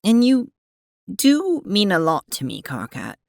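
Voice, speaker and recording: Woman as earnest alien young lady, unhurried, very dry sound, stiff formal phrasing, apologetic, audio drama. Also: mid-range voice, huge pitch swings, vocal fry, darkish tone, no echo, exaggerated voice. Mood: sincere remorse. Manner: formal, halting, apologetic, avoids contractions. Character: prim, earnest, awkward young female alien troll.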